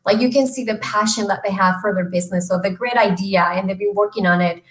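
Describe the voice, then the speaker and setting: Woman from mexico chatting on a video call, earnest, lots of ums, middle pitched voice, roomy sound. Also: fast talker. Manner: earnest, with many fillers and restarts. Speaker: guest, small business loan expert. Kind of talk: casual interview chat. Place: home room.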